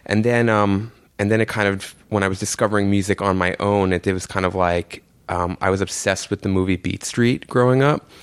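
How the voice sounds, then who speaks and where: A New man in his forties rambles casually about his past. His voice is low, flat and smooth. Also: brisk, few pauses. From New York City. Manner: loose, rambling, lots of fillers. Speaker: guest. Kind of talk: casual interview.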